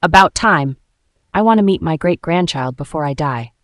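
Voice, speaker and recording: A woman's voice: sits low, animated, moderate pace, no room sound. Woman doing a blunt, dry old grandmother. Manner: matter-of-fact.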